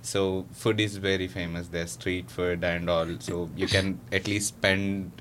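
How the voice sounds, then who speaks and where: Young man, Indian accent, low voice; easygoing student guest, hesitant, with fillers. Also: flat delivery, measured pace, a bit bright, a little hiss or hum. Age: young adult. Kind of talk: casual chat.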